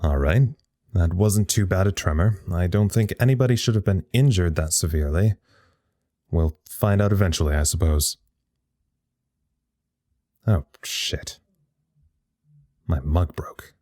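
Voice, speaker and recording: A man acts out a wry, tired scientist logging reports from an isolated site in an audio drama. The voice is low and soft-edged, recorded close and dry, with a halting, understated delivery. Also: no room sound. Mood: dry, flustered. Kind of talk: audio drama acting as a live radio log.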